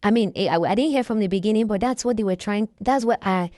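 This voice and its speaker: A woman's voice: medium pitch, fast talker, dark tone, no room sound, studio quality. A woman chatting casually as she recaps a reality show, hesitant and often restarting her sentences. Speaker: reaction vlogger.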